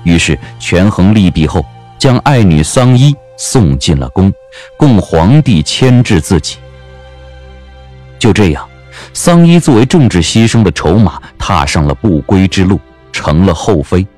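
A man narrating a scripted audio drama as an expressive storyteller. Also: low voice, a little slow, faint music behind.

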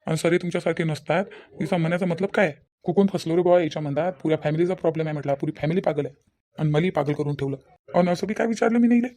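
A man's voice: on the high side, racing, dark tone, natural voice. A man voicing a puzzled, exasperated village husband in a cartoon family drama, with a questioning, grumbling manner and a Vidarbha Marathi accent. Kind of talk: dramatised cartoon dialogue in colloquial speech.

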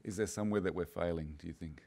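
A panel moderator speaks in a very deep, measured voice as he puts questions to the speakers. He is standing on a conference stage with a handheld microphone. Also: gravelly, warm tone.

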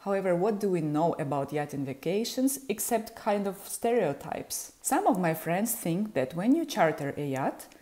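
Ukrainian-accented woman, thirties, low mellow voice, friendly explainer, earphone mic. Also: big pitch swings, moderate pace, hardly any pauses. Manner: friendly, explanatory, talks with her hands. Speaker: yacht charter specialist. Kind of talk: informative vlog talk to camera. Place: small indoor room at home. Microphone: earphone mic, webcam framing.

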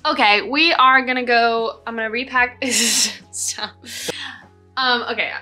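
A woman's voice: fairly high pitch, steady tone, pushed and bright, soft background music. Young woman, 20s, casual vlogger, upbeat and chatty, a touch tired, camera at arm's length in a small room. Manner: chatty, upbeat, quick asides and laughs. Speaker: cruise ship dancer vlogger. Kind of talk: casual vlog talking to camera. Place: hotel room, then small ship cabin. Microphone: camera on a tripod or held at arm's length.

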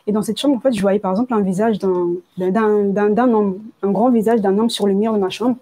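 Woman, late teens, mellow timbre, hesitant colloquial delivery, personal testimony, repeated words. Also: medium pitch. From Congo, moved to France at age nine. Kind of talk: personal testimony, informal spoken storytelling.

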